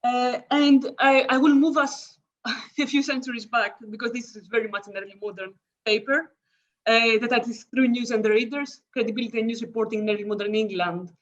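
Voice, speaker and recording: A woman with a Greek accent presenting an academic paper over a video call, talking fast with hesitant fillers and self-corrections. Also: fairly high pitch, fairly even intonation, muffled recording. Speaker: conference speaker.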